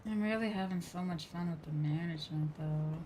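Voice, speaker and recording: A woman's voice: fairly low pitch, brisk, warm tone, a little hiss or hum. A woman live-streaming a video game, chatting informally with viewers and thinking aloud with exclamations.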